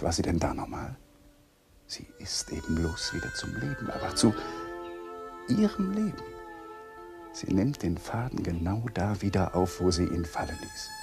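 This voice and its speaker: Brooding young husband, widely swinging voice. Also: male voice, on the low side. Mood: brooding, tormented. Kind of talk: radio play dialogue and inner monologue.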